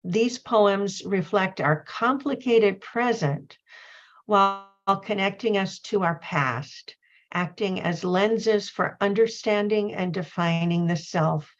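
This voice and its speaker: A woman's voice: medium pitch, takes it slowly. A woman hosting an online poetry reading with an informal, effusive and apologetic introduction over a video call. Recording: dull, lo-fi video call audio.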